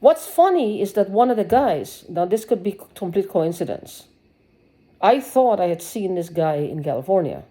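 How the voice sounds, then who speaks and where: A woman's voice: medium pitch, very animated, moderate pace, dark tone. A woman giving a rambling personal video monologue, agitated and sarcastic, swearing and restarting her sentences. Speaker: vlogger.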